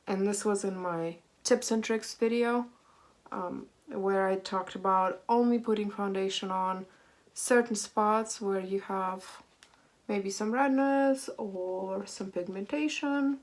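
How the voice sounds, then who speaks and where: A woman in her thirties, hoarse and a little raspy from a cold, chatting casually and self-deprecatingly as she walks through her makeup routine at home. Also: mid-range voice, slow pace. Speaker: beauty vlogger. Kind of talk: casual get-ready-with-me chat.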